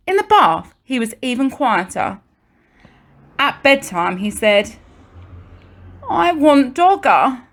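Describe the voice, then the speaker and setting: A woman with a fairly high-pitched voice reads a children's story aloud. She sounds like a primary school teacher at story time, placing deliberate pauses and expressive emphasis for young listeners.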